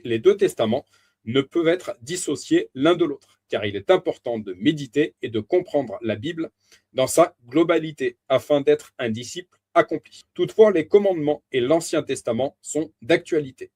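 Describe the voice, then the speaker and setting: A man reading a Bible teaching aloud as a preacher, in a measured, deliberate way with emphatic stresses. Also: medium pitch, darkish tone, breathy edge.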